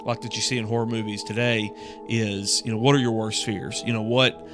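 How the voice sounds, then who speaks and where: A man's voice: on the low side, level tone, a little fast, over music. A man co-hosting a podcast, thinking aloud in a tentative, casual way as he discusses a horror story. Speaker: podcast co-host.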